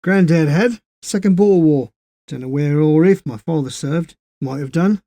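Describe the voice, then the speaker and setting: A man's voice: fairly high pitch, moderate pace, dark tone, no echo, lightly acted. A man voicing a blunt, suspicious working-class British soldier in an audiobook story, slangy and questioning. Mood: hesitant.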